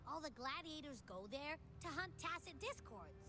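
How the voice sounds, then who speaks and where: A woman voicing a small, excitable, food-loving companion creature in a video game, perky, chatty and inquisitive. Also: sits high, normal speed. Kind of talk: animated video game dialogue. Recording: game music behind the voice. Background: game music.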